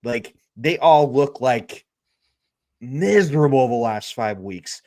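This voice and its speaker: A man co-hosting a live sports talk show, answering in a measured, agreeable way. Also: mid-range voice, animated, a little mellow. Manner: measured, agreeable, wait-and-see. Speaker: co-host.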